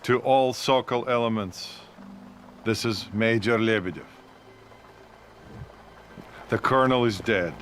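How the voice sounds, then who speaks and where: A man playing a ruthless, smooth Soviet army major in a video game, commanding and coolly threatening. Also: medium pitch, slow pace, long pauses, fairly bright tone, faint music behind. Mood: commanding, menacing. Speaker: military officer villain. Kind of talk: video game cutscene acting. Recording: heavy vehicle and ambience noise behind.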